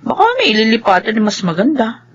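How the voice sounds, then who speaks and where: Slightly dull audio carries a sensible office colleague in radio drama. Her fairly high voice is animated, matter-of-fact and quick to exasperation. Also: breathy edge.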